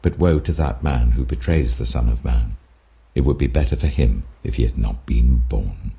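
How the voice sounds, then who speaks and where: A British man voicing a grave, authoritative male teacher in a scripture reading, speaking solemnly and deliberately. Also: extremely low pitch, vocal fry, dark tone, slightly rough, muffled recording, voice pushed down, exaggerated voice. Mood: grave warning.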